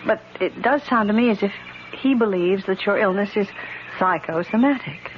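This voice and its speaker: A woman's voice: mid-range voice, moderate pace, lightly acted. An actress playing a concerned, blunt and sceptical older woman in a radio drama, with a probing, emphatic way of talking. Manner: probing, plain-spoken, emphatic. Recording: dull, noisy vintage radio drama recording.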